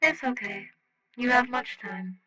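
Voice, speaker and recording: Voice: mid-range voice, a little slow, sharp tone, voice pushed up, poor audio. A podfic reader voicing a small, blunt, cheerful alien rock creature who talks in clipped broken English through a translator. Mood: blunt, kindly. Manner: speaks clipped broken English, announces questions by saying "question". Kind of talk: scripted fiction dialogue, translator voice.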